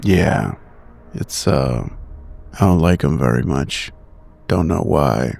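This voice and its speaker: A taciturn, surly big male investigator in a tabletop horror game, played by a man. He speaks slowly in a rock-bottom, gravelly register, deadpan and sparing with words. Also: extremely low pitch, exaggerated voice. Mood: surly, flat. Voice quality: gravelly, creaky. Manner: terse, deadpan.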